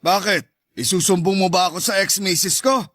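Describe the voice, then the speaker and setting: High, sharp sneering macho bully , acted by a man. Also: normal speed, no echo. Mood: mocking. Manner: sneering, taunting. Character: domineering, mocking macho ex-husband, jealous and scornful. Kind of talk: Tagalog audio drama dialogue.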